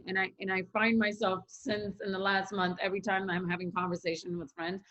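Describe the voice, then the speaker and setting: A woman explains her views in a calm, measured way during a podcast chat. Her voice sits at an average pitch, and it comes through a dull, somewhat lo-fi mic. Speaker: podcast co-host. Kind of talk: casual chat.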